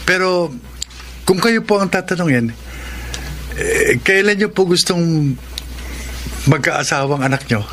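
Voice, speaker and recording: A young Filipino suitor, courteous and eager to please, in radio-drama style. His voice is a little high, with faint noise behind it. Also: expressive, unhurried, long pauses, a bit bright. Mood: deferential, eager.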